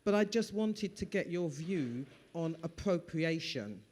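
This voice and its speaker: Man, audience questioner, higher voice, warm, hall reverb. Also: animated, measured pace. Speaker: audience member asking a question. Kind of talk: question from the floor. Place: event hall.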